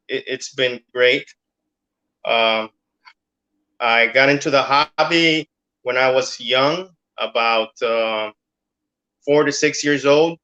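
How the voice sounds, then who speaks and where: A man's voice: medium pitch, sharp tone, highs cut off. A middle-aged man from Cuba, a guest in a casual interview, talking hesitantly with many fillers.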